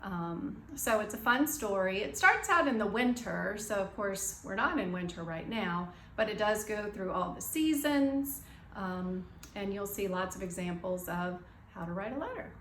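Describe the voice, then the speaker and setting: A woman's voice: mid-range voice, expressive, normal speed, fairly bright tone, reverberant, a little hiss or hum. A woman speaking informally as an elementary school teacher, chatting and reading a storybook aloud to children.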